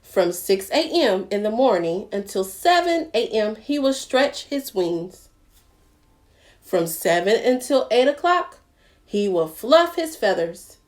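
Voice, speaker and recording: A woman's voice: medium pitch, sing-song, slow pace. A woman hosting a preschool storytime, speaking expressively and directly to young listeners as she reads aloud. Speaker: children's librarian and storytime host.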